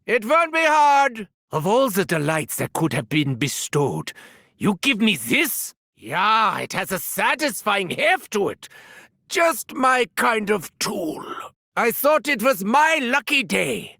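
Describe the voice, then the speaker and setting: An actor playing a gleefully sadistic mad scientist doctor with a German accent, in a video game, gloating theatrically with cackling and shouting. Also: male voice, sits high, big pitch swings, takes it slowly, no room sound, studio quality, full character voice. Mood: sardonic. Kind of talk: video game character barks.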